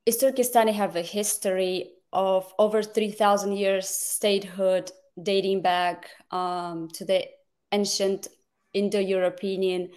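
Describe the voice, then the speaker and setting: Halting woman from Kazakhstan. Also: medium pitch, level tone, darkish tone. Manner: halting, many fillers and restarts. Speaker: guest advocate. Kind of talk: interview answers.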